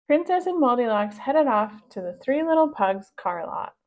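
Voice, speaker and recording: A woman reading a children's storybook aloud in a measured narrating style for young listeners. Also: high voice, big pitch swings, a little mellow. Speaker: storybook reader. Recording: dull, lo-fi sound.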